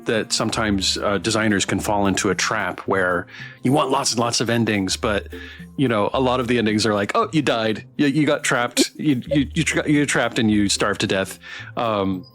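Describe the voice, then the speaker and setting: A man's voice: fairly low pitch, normal speed, fairly bright tone, soft background music. A man hosting a casual livestream interview, often repeating words as he goes.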